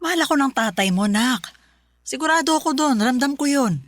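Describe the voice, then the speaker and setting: A woman's voice: high voice, animated, a little fast, no echo, studio quality. An actress playing a long-suffering, forgiving Filipino wife and mother in a radio drama, pleading and placating. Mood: gentle, reassuring.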